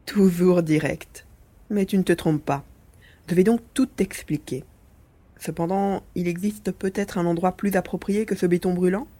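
Woman playing a husky little alien creature. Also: fairly low pitch, fairly even intonation, moderate pace, dark tone, close to an everyday voice. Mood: matter-of-fact. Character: centuries-old, playful mouse-beaver mutant alien, small creature. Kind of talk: French audio drama acting.

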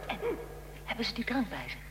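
A woman's voice: medium pitch, a little fast, natural voice. A woman acting in a radio drama as a curious, easily startled young interviewer who questions a figure from history. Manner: inquisitive, expressive, reacts with alarm and wonder. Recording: long echoing reverb, faint background noise.

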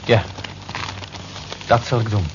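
A man's voice: lowish voice, fairly even intonation, slow. An actor playing a modest, reserved male office clerk who answers briefly and evasively in a radio drama. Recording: very noisy, dull old radio recording.

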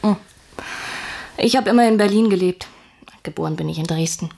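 An actress playing a guarded, frank young war widow in a German radio play, husky and understated. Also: female voice, lowish voice, fairly quick, mellow. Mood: quiet, frank.

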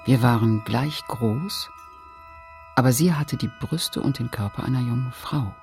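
An actress playing a woman recalling a shamed childhood, narrating a literary radio drama soberly and deliberately. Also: extremely low pitch, darkish tone, faint music behind.

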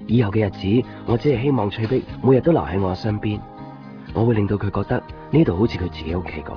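Guy playing eager lovestruck young shop lad in a radio play, talks fast, kinda muffled old broadcast. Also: lowish voice, close to an everyday voice. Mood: reflective, hopeful. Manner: earnest, stammering and repeating himself. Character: eager, shy young copy-shop man, earnest and lovestruck. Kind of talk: Cantonese radio drama dialogue and inner narration. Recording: muffled 1990s radio broadcast, music underneath. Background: music.

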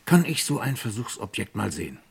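An actor playing a polite but unyielding police inspector in a vintage radio crime drama, formal and probing. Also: male voice, fairly low pitch, big pitch swings, fairly quick, creaky, husky, full character voice. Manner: formal, probing, coolly courteous.

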